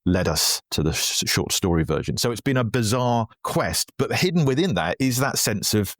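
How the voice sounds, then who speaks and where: A man with a fairly low voice talks casually as an interview guest. He tells his stories in an animated way, slipping into imagined remarks, and the sound is close and dry. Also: moderate pace, no echo. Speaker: writer and podcaster being interviewed.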